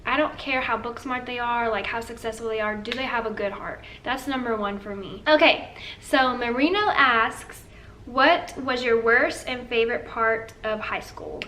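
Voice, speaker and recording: Young woman co-host, earnest chatter, high-ish voice, faint background hiss. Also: normal speed, bright, forceful tone. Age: young adult. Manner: chatty, earnest, says "like" often. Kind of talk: casual couple Q&A chat. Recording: slightly distant with faint room noise.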